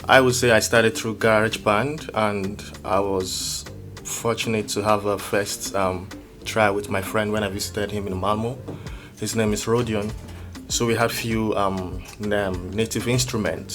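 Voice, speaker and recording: Nigerian guy, lowish voice, chatting as a guest, feels his way thru answers, music playing behind. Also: steady tone, normal speed. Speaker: music producer, interview guest. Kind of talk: casual interview answers. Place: music studio.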